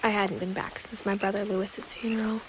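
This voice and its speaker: A woman's voice: mid-range voice, level tone, fairly quick, natural voice. Woman playing a reflective teenage girl narrator, insects behind. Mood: pensive, subdued. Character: reflective seventeen-year-old girl returning to her family house. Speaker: narrator protagonist. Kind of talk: scripted first-person game narration. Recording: clear outdoor nature sounds with insects. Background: ambient insects and nature noise.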